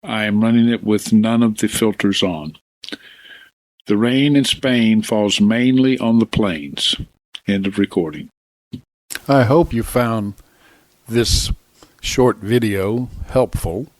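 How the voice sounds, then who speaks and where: A man's voice: fairly low pitch, slow pace, no echo. An older man giving an informal, matter-of-fact demonstration as a radio hobbyist, speaking plainly into vintage desktop radio microphones. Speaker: amateur radio hobbyist presenter. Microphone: vintage desktop radio microphones, recorded directly.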